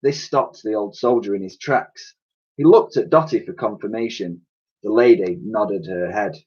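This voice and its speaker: A man reading a children's story aloud in an animated, expressive storytelling style. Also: on the low side, moderate pace, breathy edge, highs cut off.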